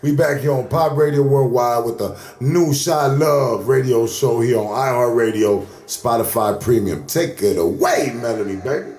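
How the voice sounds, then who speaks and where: A man's voice: medium pitch, normal speed, few pauses, darkish tone, slight background noise. An American man co-hosting a radio show, talking in a casual, slangy, emphatic promoter's style. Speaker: radio station host and co-host. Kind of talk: casual hype radio talk. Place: indoor radio studio. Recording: roomy studio echo.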